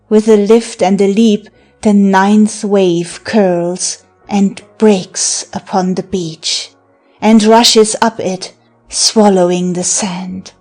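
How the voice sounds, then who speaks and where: A woman's voice: medium pitch, darkish tone, close to an everyday voice. A woman playing a teasing, mocking seductress with magical wiles in a theatrical audiobook verse drama. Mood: seductive, commanding. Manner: theatrical, taunting, breaking into laughter and sighs.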